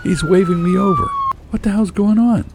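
A man's voice: mid-range voice, huge pitch swings, slow, noisy background, pitched above the performer's own voice. A man acting out a flustered, exasperated husband who exclaims in panicky disbelief. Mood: panicked, confused. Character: flustered, exasperated husband and hapless novice boater.

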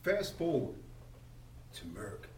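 A man's voice: medium pitch, very animated, slow, a little mellow. A man hosting a podcast interview at a convention, polite and enthusiastic, often addressing his guest as sir. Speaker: podcast host. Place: convention venue. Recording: roomy, distant mic with faint noise.

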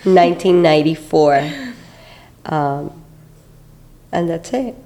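Slow, lowish-voiced woman in her fifties. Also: fairly even intonation, dark tone. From Puerto Rico. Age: 50s. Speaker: podcast co-host. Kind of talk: casual chat, storytelling.

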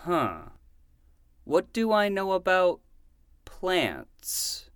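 A man's voice: high-pitched, huge pitch swings, drawn-out words, clear, resonant tone, no room sound, clean studio sound, heavily acted. A man playing a mild, kindly small-town librarian in a fiction podcast, hesitant and folksy as he humors a child. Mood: helpful, hesitant.

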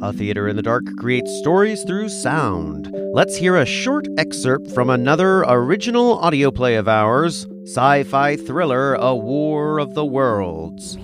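A man delivers scripted closing credits for an audio drama in a steady, formal read. His voice sits mid-range. Guitar music plays loudly beneath him. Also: medium pitch, measured pace, hardly any pauses. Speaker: audio drama producer, narrator.

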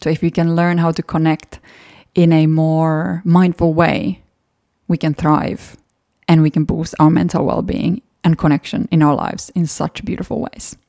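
A woman's voice: low voice, little pitch movement, a little slow, gravelly, warm tone, dry recording, clean studio sound. A woman with a Finnish accent, a native Finnish speaker, hosting a solo podcast and talking through past episodes in an earnest, encouraging way. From Finland. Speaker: podcast host.

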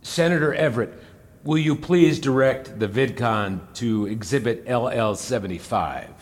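A man acting as a senator in an audio drama, cross-examining in a reverberant hall. He is formal and deliberate, with pointed questions and a condescending edge at a medium pitch. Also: natural voice. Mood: formal, probing.

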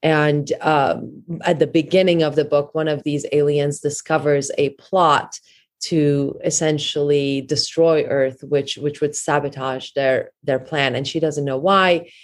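A woman with a low voice speaks as an interview guest, explaining things in an earnest, steady way. She fills pauses with many small "uh"s and "um"s. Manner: earnest, steady, with frequent fillers. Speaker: author and meditation teacher.

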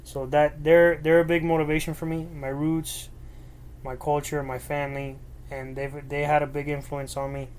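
A man's voice: fairly high pitch, level tone, normal speed, darkish tone, clean tone. A young man from Miami whose first language is Spanish, telling a personal story alone in his bedroom in an earnest, rambling way. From Miami, United States. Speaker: podcast host. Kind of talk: solo personal storytelling monologue. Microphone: laptop microphone.

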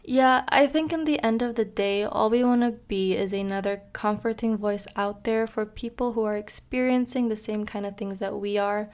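Twenties woman from Indonesia, podcast co-host, friendly chatty delivery with fillers and soft laughs. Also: mid-range voice, fairly even intonation, moderate pace, hardly any pauses. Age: 20s. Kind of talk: casual chat.

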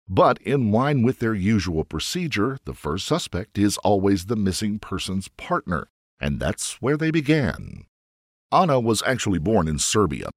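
A man's voice: on the low side, breathy edge, dry recording, clean studio sound. A man narrating a scripted true crime story in a dramatic storytelling manner, posing rhetorical questions.

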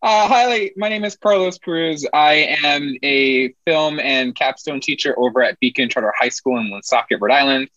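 A man's voice: fairly high pitch, moderate pace, few pauses, a bit bright, no room sound, muffled recording. A man from New York, upbeat and joking, telling his story casually as an interview guest. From Bronx, New York, USA. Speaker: guest, high school film teacher.

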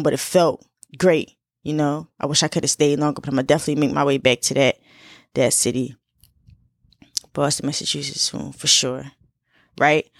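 Twenty-something woman from philly chatting on her podcast, lowish voice, relaxed, lots of "you know"s, dry sound. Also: no echo. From Philadelphia. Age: 20s. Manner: laid-back and chatty, full of fillers and repeats. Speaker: podcast host. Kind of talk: casual solo podcast chat.

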